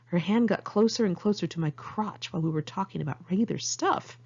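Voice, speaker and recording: A woman reads her personal memoir aloud in a candid, conversational style. Her voice sits a little low, with plenty of gravelly fry at the edges. The homemade recording sounds slightly dull and lo-fi. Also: a little fast, darkish tone. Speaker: author reading own memoir. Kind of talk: audiobook narration of a personal memoir, read in one take. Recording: muffled lo-fi amateur recording.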